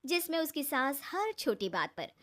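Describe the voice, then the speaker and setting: A woman with a high-pitched voice narrates a cartoon tale. She tells it as a scripted storyteller, line by line. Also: very animated, moderate pace, fairly bright tone.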